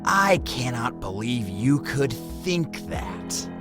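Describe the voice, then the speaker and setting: A man's voice: mid-range voice, big pitch swings, takes it slowly, over music. An actor playing a curious, earnest young man of mixed blood in a fantasy audio drama. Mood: awed.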